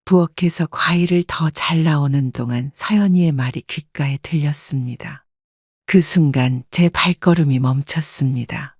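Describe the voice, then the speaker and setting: A woman's voice: sits low, normal speed, darkish tone, no echo, studio quality. A woman reading a scripted first-person story as an audiobook narrator.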